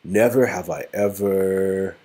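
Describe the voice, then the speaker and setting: A man's voice: low-pitched, slow, warm tone. A man voicing an easygoing, slightly sheepish young man in a story game. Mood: playful.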